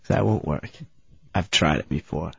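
A man co-hosting a radio show, whining and complaining in a husky voice. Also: sits low, normal speed, dry recording. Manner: whiny, complaining. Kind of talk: casual radio banter. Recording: dull lo-fi radio recording.

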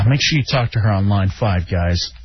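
A man's voice: on the low side, moderate pace, a little hiss or hum. A man from Texas hosting a call-in radio show, joking with his co-host and callers and laughing often. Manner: jokey, animated, laughs often. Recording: slightly muffled radio broadcast.

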